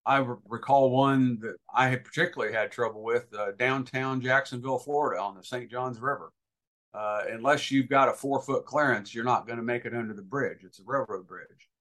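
A man's voice: medium pitch, a bit bright. Male boating educator as interview guest: mid-range voice, practical, unscripted, hesitant, explains step by step. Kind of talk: informal explanatory interview answers.

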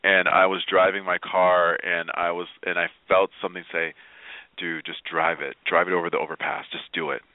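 A man speaking as an interview guest, telling personal stories in an expressive, emphatic and informal way. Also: sits low, moderate pace, no room sound. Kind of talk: conversational personal storytelling. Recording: phone-like narrow-band online call.

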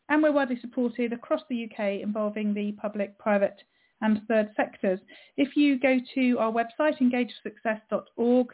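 A woman hosting a radio show, introducing and interviewing a guest in a measured way. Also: a little high. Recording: tinny phone line.

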